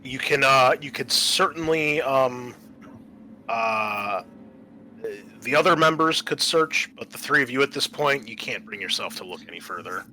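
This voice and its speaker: A man improvising narration as game master of a tabletop game, explaining things in a halting way. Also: mid-range voice, lively intonation, bright, forceful tone, a little hiss or hum, poor audio.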